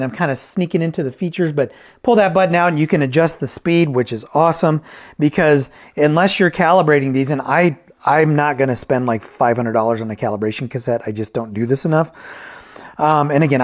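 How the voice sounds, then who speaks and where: A man talking casually through an unscripted product review, rambling and correcting himself, often checking in with "right?". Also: fairly high pitch. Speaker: reviewer and repairer of vintage tape decks.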